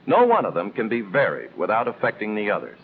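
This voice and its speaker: An American man reading scripted instructional narration in the style of a 1950s military training film, explaining in a measured, didactic way with clear emphasis. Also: on the low side, huge pitch swings. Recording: tinny band-limited old film soundtrack, noisy.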